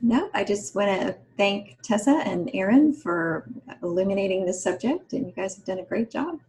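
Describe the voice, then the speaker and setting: A woman's voice: medium pitch, expressive, normal speed, a little mellow, rough recording. A woman gives casual advice on a podcast in a mid-range voice. She sounds like a mentor, practical and direct. Her phrasing is encouraging and conversational. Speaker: podcast panelist, writing mentor.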